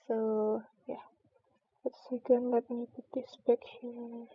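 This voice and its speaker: A woman's voice: a little high, little pitch movement, slow pace, slightly rough, no room sound. A woman streamer talking casually and reading a storybook aloud, in a slightly muffled recording.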